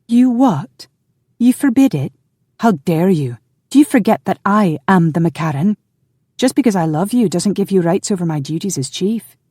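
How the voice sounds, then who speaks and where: A narrator voicing a headstrong young woman who leads a clan, loving, defiant and quick to plead or protest. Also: mid-range voice, dark tone, no echo, clean studio sound, pitched above the performer's own voice, lightly acted. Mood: indignant. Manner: pleading, indignant.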